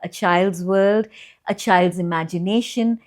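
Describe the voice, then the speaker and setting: A woman reading a children's bedtime story aloud, with expressive, deliberate phrasing and drawn-out pauses for young listeners. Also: on the low side. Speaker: children's storyteller and channel host.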